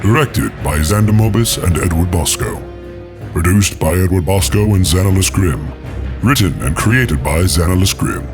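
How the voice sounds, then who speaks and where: A man's voice: low voice, lively intonation, normal speed, over music. A man reading scripted credits as the announcer of an audio drama. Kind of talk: scripted narration.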